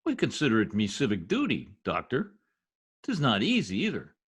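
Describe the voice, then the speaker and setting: A man plays a bungling, boastful husband in a 1950s-style radio comedy. His husky voice swoops all over as he teases with folksy bluster and mangled grammar. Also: medium pitch, wildly swooping pitch, moderate pace, a bit bright, exaggerated voice. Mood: needling. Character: gleeful needling blowhard husband, bungling new census taker. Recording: remote video-call radio play recording.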